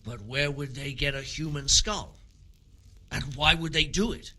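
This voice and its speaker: A sceptical, practical foreman in a medieval video game. His voice is fairly high and very sharp, with a forceful edge. He sounds like someone tired of trouble on the job. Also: a little slow, full character voice. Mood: exasperated. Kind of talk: video game drama acting.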